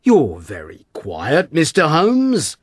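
A man as cocksure police inspector, easily cross, animated pitch, slow emphatic phrasing, dry close sound, storybook. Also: no echo, full character voice. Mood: smug. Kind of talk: dramatised audiobook storytelling.

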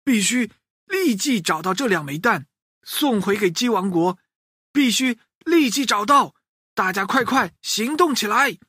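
A man's voice: high-pitched, animated, a little slow, sharp tone, breathy edge, dry recording, clean studio sound, pitched above the performer's own voice, exaggerated voice. A man voicing an urgent emergency announcer in a children's story, calling out warnings. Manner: announcing, insistent. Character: urgent emergency broadcaster, male adult.

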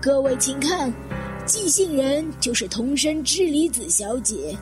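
A woman's voice: high-pitched, lively intonation, unhurried, music in the background. A woman playing a composed, grave older lady in a detective audio drama. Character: composed older widow, Madam Honma, recounting a suicide.

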